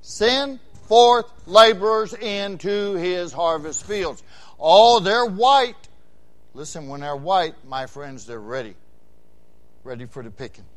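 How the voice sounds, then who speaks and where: A man in his sixties delivers a Baptist sermon in a notably high voice. He drops into quiet, conversational asides, then surges into loud, emphatic calls. His phrases pile up in rhythmic repetitions. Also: slow. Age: 60s. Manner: preaching with big swings between quiet asides and loud shouted emphasis; repeats phrases in rhythmic runs. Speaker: Baptist pastor.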